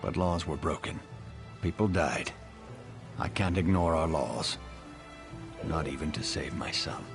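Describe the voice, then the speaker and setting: A man's voice: rumbling low, expressive, a little slow, gravelly, over music, heavily acted. An actor playing a proud, severe middle-aged lord and father in a video game, commanding and formal. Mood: stern, weary. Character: stern lordly father, lawbound, proud, unforgiving. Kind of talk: scripted video game dialogue.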